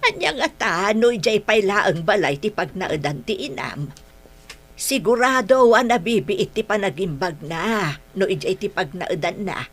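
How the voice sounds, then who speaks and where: A woman's voice: medium pitch, lively intonation, unhurried, fairly bright tone. An actress with an Ilocano accent playing a concerned old grandmother in a radio drama, sympathetic and fretting.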